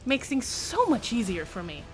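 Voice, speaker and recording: Playful woman streamer, fairly high voice. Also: sing-song, normal speed, music in the background. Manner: wry, playful, rambling. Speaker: game streamer. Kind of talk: casual live game commentary.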